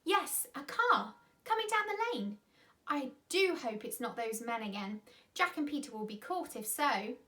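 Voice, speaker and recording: A woman's voice: on the high side, very animated, a little slow, bright, forceful tone, full character voice. A woman voicing an eager, anxious young schoolboy from a children's storybook, speaking urgently. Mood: worried watchful.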